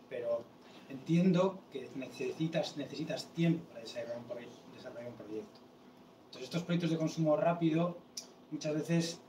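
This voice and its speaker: A somewhat high-pitched man thinking out loud at a panel, measured and reflective. His voice comes from a distance in an echoey room with steady background noise. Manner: reflective, checks he is understood. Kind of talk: panel discussion.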